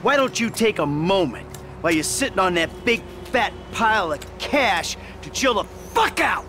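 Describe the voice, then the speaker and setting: A man playing an exasperated middle-aged career criminal, gruff and scolding, in a video game. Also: sits high, sing-song, moderate pace, background noise. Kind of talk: video game cutscene acting.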